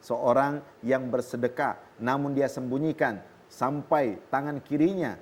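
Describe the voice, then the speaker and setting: A man with an Indonesian accent, a preacher answering a viewer's question on a TV program, explaining calmly and quoting Arabic scripture. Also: mid-range voice, measured pace. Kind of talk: religious talk on a television program. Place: television studio.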